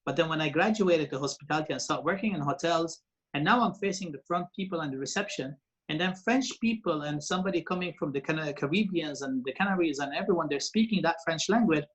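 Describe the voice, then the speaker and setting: A man's voice: fairly high pitch, fast, husky, highs cut off. A man in his forties who grew up in Lebanon and speaks Arabic as his first language. He is a radio presenter and TV host, telling stories as an interview guest in a rambling way full of fillers and restarts. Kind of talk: interview guest, casual storytelling.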